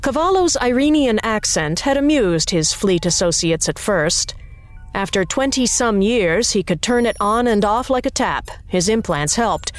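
A woman narrating an audiobook in an even, measured storytelling manner. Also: mid-range voice, faint music behind.

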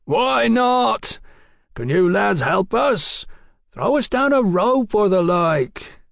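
Bellowing, brash drunken-sailor rowdy. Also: male voice, sits high, sing-song, takes it slowly, fairly bright tone, no room sound, clean studio sound, pitched above the performer's own voice, full character voice. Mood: jovial, brash. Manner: bellowing, rough, jovial. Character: brash loud man feigning drunken sailor rowdiness.

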